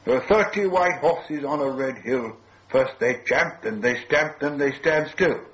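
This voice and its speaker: A man playing a small, anxious but quick-witted male adventurer in a storybook, earnest and exclamatory. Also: fairly high pitch, pitched above the performer's own voice, rough recording. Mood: reciting riddles.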